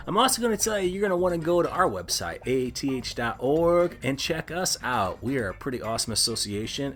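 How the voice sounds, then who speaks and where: A man's voice: medium pitch, sharp tone. A man hosting a podcast, speaking in a casual promotional style with playful, showman-like emphasis. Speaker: podcast host. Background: faint music.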